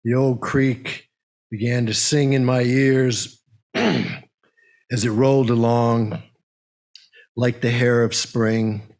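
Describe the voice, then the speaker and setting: A man leading a service, speaking in a halting way as he makes announcements and reads poetry to a congregation. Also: medium pitch, fairly even intonation, a little mellow. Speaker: service leader and poem reader.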